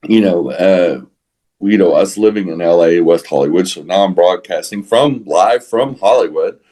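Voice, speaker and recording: A man with a Texas accent talking casually as a podcast co-host, halting often to repeat and rephrase his words. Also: low-pitched, mellow. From San Antonio, Texas. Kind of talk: casual opinionated podcast talk.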